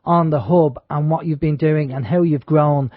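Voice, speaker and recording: An Irish man hosts a talk radio show in a fairly high voice, rambling along with lots of ums and restarts. The sound is dry and a little dull, with no room around it. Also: steady tone, moderate pace, no room sound. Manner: rambling, many fillers and restarts. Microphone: studio microphone. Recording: dull, lo-fi internet radio audio.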